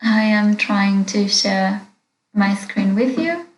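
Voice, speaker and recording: A woman interprets a webinar into English, keeping a steady, measured pace with the odd filler. Her voice sits at a middle pitch and echoes in a hard room. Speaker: interpreter and moderator.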